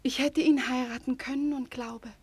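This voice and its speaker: A husky, very breathy young woman, fairly high in pitch. She is lovestruck, spirited and torn, speaking with theatrical feeling in an old 1940s radio drama. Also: normal speed. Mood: tender, troubled. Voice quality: breathy, often dropping to near-whisper. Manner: theatrical, emotionally expressive. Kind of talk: 1940s German radio play acting. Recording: vintage 1949 radio recording.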